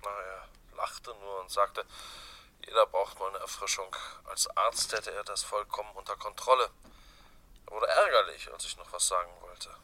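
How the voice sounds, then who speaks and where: An actor playing a guarded businessman under questioning in a German radio crime drama, speaking haltingly with an edge. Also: deep voice, full character voice. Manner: halting, guarded, sharp-edged. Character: guarded businessman questioned about his dead friend.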